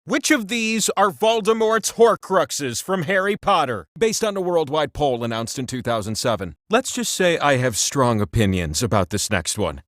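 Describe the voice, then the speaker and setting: A man's voice: on the high side, very animated, normal speed, hardly any pauses, fairly bright tone, no room sound, clean studio sound. A man voicing an immortal talking game-show wheel, a boastful, campy and playful trivia host with theatrical delivery. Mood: boastful, wry. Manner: playful, showy, self-important asides.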